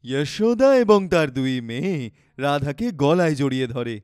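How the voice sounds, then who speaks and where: Casting: male narrator for children's tales, fairly high voice, scripted storytelling, dry close recording. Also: big pitch swings, moderate pace, a little mellow, smooth, no room sound.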